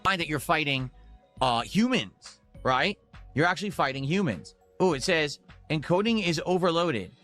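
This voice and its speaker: A man's voice: a little high, very animated, normal speed, a bit bright, soft background music. A man chatting casually to his viewers on a gaming livestream in a rambling, audience-focused way.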